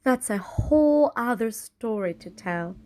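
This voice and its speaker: Slow, theatrical storybook narrator. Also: female voice, fairly high pitch, expressive, mellow. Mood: knowing. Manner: measured, lyrical, theatrical. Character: whimsical storytelling woman narrator, fond of chickens.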